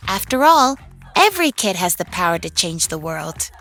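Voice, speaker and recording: Animated, upbeat girl inventor. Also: fairly low pitch, moderate pace. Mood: cheerful, proud. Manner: enthusiastic, encouraging, expressive storytelling. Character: upbeat, confident young girl inventor, cartoon heroine narrating. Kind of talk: scripted children's read-along narration. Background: music under the voice.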